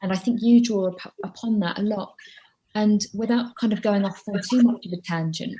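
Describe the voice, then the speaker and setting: A woman's voice: mid-range voice, normal speed, warm tone, lo-fi sound, slight background noise. A woman hosting an online conversation with a fellow poet over a video call, effusive and rambling in her questions. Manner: effusive, rambling, with fillers and restarts. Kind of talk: conversational interview.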